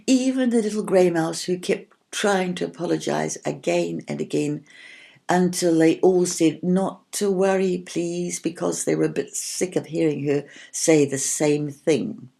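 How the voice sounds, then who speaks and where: Low, mellow woman reading a children's story. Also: measured pace, creaky. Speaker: audiobook reader. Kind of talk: scripted children's story narration.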